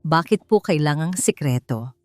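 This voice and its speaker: A woman's voice: deep voice, lively intonation, moderate pace, darkish tone. A woman voicing an anxious, humble young housekeeper in an audiobook drama, speaking hesitantly and deferentially with a trembling voice. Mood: puzzled, hesitant.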